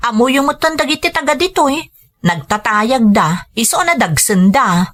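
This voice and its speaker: Dutiful higher-voiced Ilocano daughter. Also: female voice, big pitch swings, normal speed, fairly bright tone. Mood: reassuring, dutiful. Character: dutiful, reassuring adult daughter working abroad. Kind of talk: Ilocano radio drama acting.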